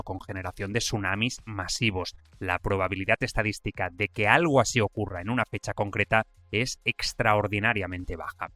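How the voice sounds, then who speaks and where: A man with a Spanish accent from Spain, a podcast host reading a scripted science explainer in a measured, didactic way. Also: on the low side, bright, forceful tone, no room sound.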